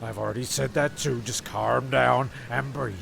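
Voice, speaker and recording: A man, narrating and voicing characters in a deadpan, exasperated way. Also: mid-range voice, normal speed, gravelly, slight background noise. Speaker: narrator and show creator. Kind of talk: comedy narration.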